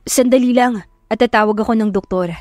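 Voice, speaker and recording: A woman voicing a concerned, apologetic man who is a calm rescuer in an audio love drama, gentle and explanatory. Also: on the high side, brisk, no echo, clean studio sound, lightly acted. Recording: music behind the voice.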